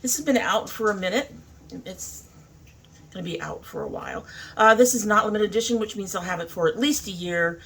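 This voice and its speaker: A woman's voice: medium pitch, brisk, a bit bright, breathy edge. A woman talking informally to camera as a beauty vlogger, thinking aloud in a casual makeup review.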